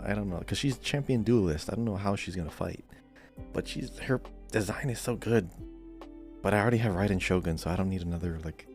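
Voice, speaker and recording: A man with a low-pitched voice co-hosts a gaming podcast. He talks in an informal, chatty way, and faint music plays underneath. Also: darkish tone. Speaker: podcast co-host. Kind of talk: casual gaming podcast chat.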